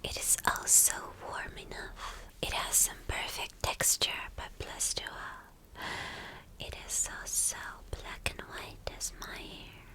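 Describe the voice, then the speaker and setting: Woman playing a slow-whispering villainess girlfriend. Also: sharp tone, low-quality recording. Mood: gloating, coaxing. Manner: coaxing, domineering, slow and teasing endearments. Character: spoiled, cruel, possessive villainess girlfriend craving fur. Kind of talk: ASMR roleplay, whispered.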